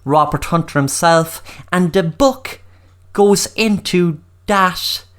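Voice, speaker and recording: A woman with an Irish accent and a slightly low voice hosts her own podcast. She talks earnestly, leaning on key words and repeating them to drive a point home. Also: slow. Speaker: podcast host. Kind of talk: solo podcast talk with book review.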